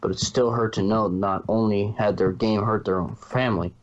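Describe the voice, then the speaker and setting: A man with a fairly low voice reads narration for a fan fiction audio story. His delivery is that of a storyteller, and the home recording is a little dull and muffled. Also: fairly even intonation, normal speed.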